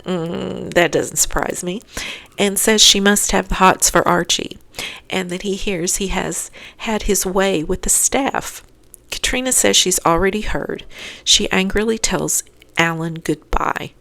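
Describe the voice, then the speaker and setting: A woman's voice: lowish voice, measured pace, vocal fry, fairly bright tone. A woman narrating a scripted episode recap as host, adding chatty asides to listeners.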